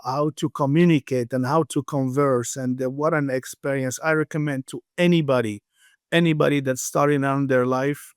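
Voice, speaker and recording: A man's voice: medium pitch, clean tone, no room sound, clean studio sound. A man in his fifties from Morocco, with a Moroccan accent, telling his story conversationally as an interview guest. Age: 50s. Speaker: interview guest, restaurant founder.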